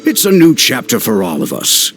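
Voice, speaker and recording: An actor playing a proud, earnest and hopeful warrior in a video game. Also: male voice, mid-range voice, huge pitch swings, moderate pace, fairly bright tone, soft background music. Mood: grateful, hopeful.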